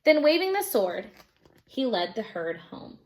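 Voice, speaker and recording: A woman teacher reading a children's storybook aloud in an expressive narrating style. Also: on the high side, measured pace, rough recording.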